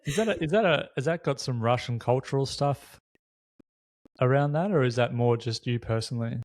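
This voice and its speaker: A man's voice: mid-range voice, brisk, dry recording. A man hosts a reflective, unscripted conversation, speaking candidly and casually in short, considered bursts. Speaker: podcast host.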